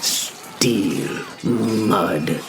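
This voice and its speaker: A man playing a reflective young knight, telling his story in measured, solemn, hushed dramatic narration with deliberate pauses. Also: music in the background. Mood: grave, wry. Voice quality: low, gravelly. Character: young male knight William, reflective, brave yet frightened. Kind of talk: scripted first-person dramatic narration. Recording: clear background noise and ambience.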